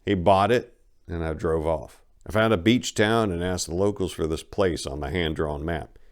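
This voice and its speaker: Man, low voice, dramatic story narration, colloquial, folksy storytelling. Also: moderate pace. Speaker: narrator of a dramatic book reading. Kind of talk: first-person dramatic audiobook narration.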